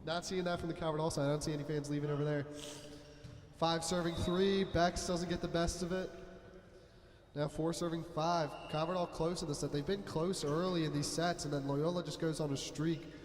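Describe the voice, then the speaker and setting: A young man calls a school volleyball game play-by-play, loud, chatty and joking, in a somewhat high voice. He sits in an echoey gym with a long ringing reverb. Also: level tone, fairly quick, a little hiss or hum. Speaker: play-by-play commentator. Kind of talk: amateur sports commentary.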